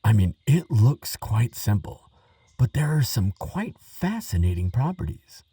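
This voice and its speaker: A man playing an earnest, excitable archaeologist who talks gently to a friend, stammering and musing with wonder, in a relaxing audio roleplay. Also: mid-range voice, a little slow, husky, no room sound, far from the mic, full character voice. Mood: eager, fascinated. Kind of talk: relaxing scripted audio roleplay, spoken to the listener.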